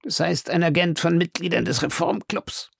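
A reader voicing a scheming male police detective who speaks in a low, confiding, persuasive way. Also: normal speed, vocal fry, slightly rough, no echo, studio quality.

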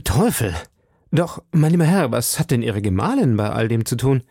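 A man voicing a brash young gallant in an audiobook, inquisitive, impulsive and briskly exclamatory. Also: medium pitch, very animated, dark tone, no echo, studio quality. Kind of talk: audiobook character dialogue.

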